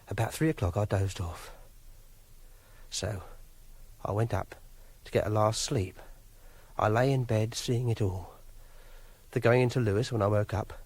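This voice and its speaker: An actor playing a quiet, awkward, obsessive loner, speaking a halting, self-pitying confessional monologue in a radio drama. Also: male voice, fairly low pitch, slightly rough. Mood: flat, brooding. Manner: halting, plain-spoken, brooding. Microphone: close, dry studio microphone.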